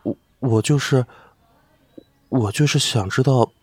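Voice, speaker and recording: A man's voice: mid-range voice, a little slow, a little mellow, husky, dry recording. A man playing a devoted, shy young idol who speaks earnestly and hesitantly in an audio drama.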